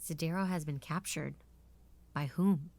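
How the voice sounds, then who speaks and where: A woman's voice: low-pitched, unhurried, darkish tone, a little hiss or hum. A woman playing a defiant, no-nonsense military strategist in a scripted drama, speaking in a firm, assertive way. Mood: impatient, businesslike. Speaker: voice actor in audio drama.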